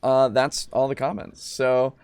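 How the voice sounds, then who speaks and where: A man's voice: medium pitch, little pitch movement, a little slow, a little mellow, smooth, no echo, studio quality. A man hosting a podcast in casual chat, with a playful, jokey manner. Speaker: podcast host. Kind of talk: casual chat, reading out comments.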